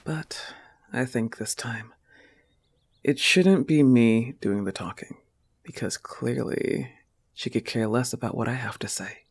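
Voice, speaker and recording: A man voicing a possessive, tender goth wolf-girl girlfriend in an intimate audio roleplay, soft-spoken and often slipping toward a whisper. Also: medium pitch, slow pace, husky. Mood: firm, supportive.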